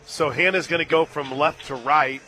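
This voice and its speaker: A man calling a live softball game on radio from a stadium booth, climbing into excited shouts on big plays and laughing easily. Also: a little high, moderate pace, soft background music. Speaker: radio play-by-play announcer. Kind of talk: live radio sports play-by-play.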